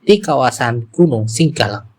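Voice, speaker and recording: Indonesian guy doing a scripted news bulletin, presenter style, mid-range pitch. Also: sing-song, moderate pace, background noise.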